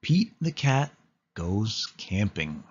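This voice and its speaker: A low-voiced man narrates a story for young children, unhurried and expressive. His intonation swings widely, and the voice is a little husky. The sound is slightly dull and lo-fi. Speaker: storyteller reading a picture book for children. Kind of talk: animated read-aloud narration for young children.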